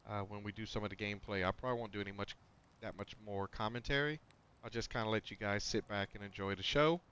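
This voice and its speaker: A man's voice: on the low side, fairly even intonation, fast, a bit bright, clear, resonant tone, lo-fi sound. A man giving casual gameplay commentary on a headset mic, slightly nasal, rambling with fillers and restarts. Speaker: gaming channel host. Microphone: noise-cancelling headset.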